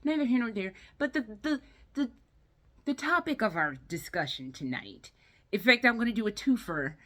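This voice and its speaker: A woman records a casual solo review vlog in a bright, forward voice pitched a little high. She is tired and rambles conversationally. Her way of talking is blunt and peppered with swearing. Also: lively intonation, moderate pace. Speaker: video game and movie vlogger.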